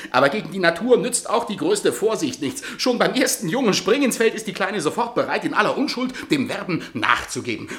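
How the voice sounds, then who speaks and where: An actor in a German radio drama playing an exuberant middle-aged male playwright with a theatrical, excitable manner. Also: a little high. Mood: gleeful storytelling. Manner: theatrical, swinging between musing and excitement.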